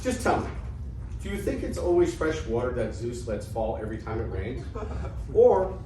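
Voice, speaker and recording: A man's voice: mid-range voice, very animated, moderate pace, dark tone, lightly acted. A man acting a crafty, mocking old Greek father in an amateur reading of a classical comedy, pompous and theatrical. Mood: scornful, pompous. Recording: echoey room, distant mic, faint noise.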